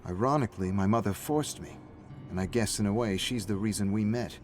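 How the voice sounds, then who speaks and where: A man with a low voice narrates a dramatic story as written. His reading is scripted and theatrical, with faint music playing behind him. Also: brisk, a little mellow. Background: faint background music.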